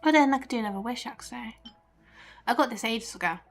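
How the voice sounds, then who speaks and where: A woman's voice: a little high, fast talker, soft background music. A woman streaming a game, chatting casually and thinking aloud in an informal way. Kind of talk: casual live gaming stream commentary.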